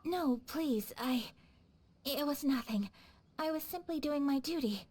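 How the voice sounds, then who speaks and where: A woman playing a poised, modest young noblewoman in a video game, speaking formally and politely in measured phrases. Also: high voice, lively intonation, darkish tone. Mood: reassuring.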